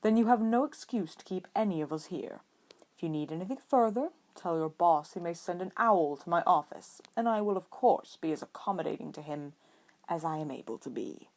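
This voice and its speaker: A woman reading the part of a calm older man, a protective father, in a measured, polite and firm way. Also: lowish voice. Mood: coolly firm.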